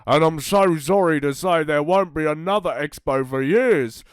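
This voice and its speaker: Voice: mid-range voice, normal speed, clean tone, dry recording, studio quality, pitched above the performer's own voice, lightly acted. A storyteller voicing an earnest, slightly fussy park ranger who hesitates and apologises.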